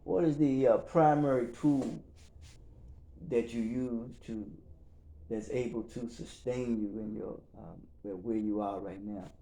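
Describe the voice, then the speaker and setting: A man leading a recovery group session in a calm, measured way, with encouraging murmurs and thoughtful pauses. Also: medium pitch, creaky. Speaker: facilitator and reverend.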